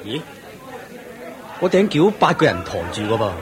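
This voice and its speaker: Brief: rich almsgiving elder, humble, lively intonation, Hong Kong Cantonese radio drama, light crowd. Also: male voice, fairly high pitch, moderate pace. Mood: surprised, urgent. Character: humble, earnest male wealthy almsgiving elder.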